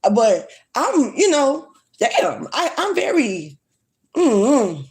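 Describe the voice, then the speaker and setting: A woman's voice: sits high, wildly swooping pitch, very slow, darkish tone. A woman, ranting bluntly and swearing freely in a casual livestream. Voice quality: strong voice. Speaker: livestream vlogger. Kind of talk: casual rant to camera.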